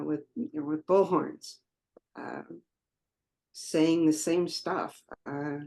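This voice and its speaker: A man speaks up on a video call with a halting comment full of pauses and fillers. His voice is gravelly and mellow. He talks slowly. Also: on the high side. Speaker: caller.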